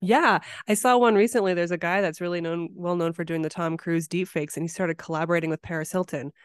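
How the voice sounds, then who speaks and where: A woman's voice: lowish voice, steady tone, quick pace, clear, resonant tone, dry recording. A woman hosting a podcast in a casual, conversational interview style. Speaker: podcast host.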